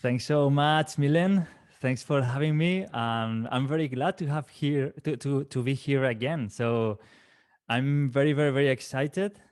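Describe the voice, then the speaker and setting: Halting Spanish guest with a Valencian accent. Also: male voice, mid-range voice, a little mellow, smooth. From Valencia, Spain. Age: 30s. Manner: halting, repeats words, laughs often. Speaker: guest calligrapher. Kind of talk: casual interview.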